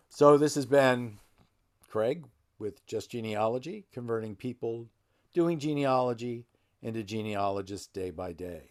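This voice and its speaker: A middle-aged man with a somewhat low, gravelly voice explains history in a relaxed, folksy way. He wanders and corrects himself mid-sentence, speaking close to a desk microphone. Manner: rambling, self-correcting, folksy. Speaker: genealogy channel host. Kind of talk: casual explanatory talk. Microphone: desk microphone close to him.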